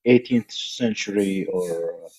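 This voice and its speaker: A middle-aged man hosting a podcast over a video call on a headset, asking questions in a halting way with many fillers. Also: low voice, a little mellow, breathy edge, low-quality recording. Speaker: podcast host. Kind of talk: interview questions.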